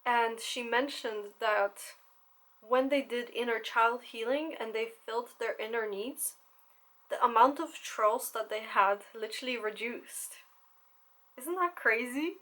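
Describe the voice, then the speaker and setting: A young woman talks to camera in a relaxed, unhurried way, her voice sitting a touch high. She is candid and swears casually, and she laughs often between thoughts. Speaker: content creator.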